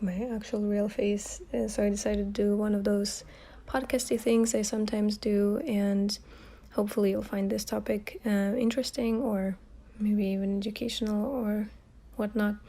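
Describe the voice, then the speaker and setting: A young woman with a Russian accent, hosting a casual podcast monologue while she has a cold, talking softly and hesitantly and often dropping into a breathy whisper. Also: medium pitch, flat delivery, moderate pace. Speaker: ASMR channel host.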